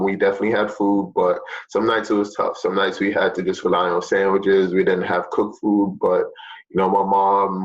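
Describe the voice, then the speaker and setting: A man's voice: low-pitched, lo-fi sound. A young man, a fitness teacher from Jamaica, talking casually to his students with many fillers. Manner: relaxed, chatty, many fillers.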